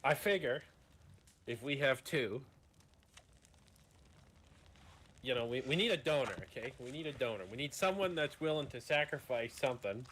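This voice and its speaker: A man roleplaying an amoral mad doctor in a Western game, explaining his schemes calmly and haltingly. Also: medium pitch. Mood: scheming. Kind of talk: improvised online Western roleplay.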